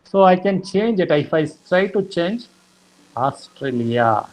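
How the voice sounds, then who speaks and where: A man teaching programming, explaining slowly with many fillers and repeated "okay". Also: sits high, expressive, rough recording. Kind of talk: lecture explaining code.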